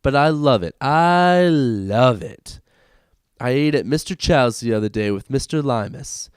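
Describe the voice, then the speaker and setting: Man putting on a gushing, hokey Southern rube, swooping pitch. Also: expressive, measured pace, clear, resonant tone, dry recording, studio quality, lightly acted. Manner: hokey, exaggerated.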